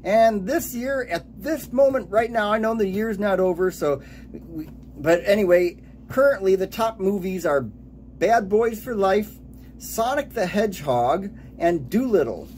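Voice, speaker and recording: A man in his late forties giving an upbeat radio DJ countdown, announcing titles and years in a lively way. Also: sits high, unhurried. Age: late 40s.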